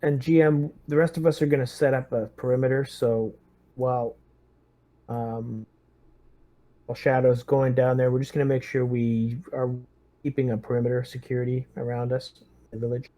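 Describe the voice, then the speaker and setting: A man's voice: medium pitch, level tone, normal speed, mellow. A man chatting casually as a tabletop game player, explaining and organizing things.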